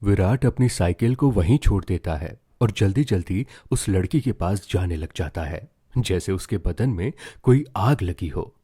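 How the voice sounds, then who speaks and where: Mellow, low-voiced male storyteller. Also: expressive, measured pace, husky, no room sound, clean studio sound. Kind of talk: dramatic scripted story narration.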